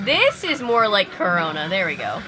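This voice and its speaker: A woman hosting a reaction video, commenting casually with expressive, wry asides. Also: mid-range voice, moderate pace, over loud music, rough recording. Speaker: video host reacting to a film.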